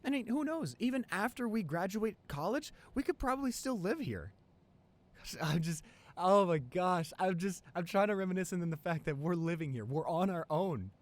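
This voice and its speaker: A man playing an excited young college boyfriend in an improvised ASMR roleplay, gushing and halting, repeating himself in sentimental amazement. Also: high voice. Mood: elated, giddy.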